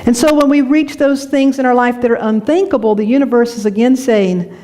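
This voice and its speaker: A woman from North Carolina, a minister giving a lively, conversational sermon in a church, laughing often as she tells stories. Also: fairly high pitch, brisk. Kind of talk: sermon with storytelling. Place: church sanctuary.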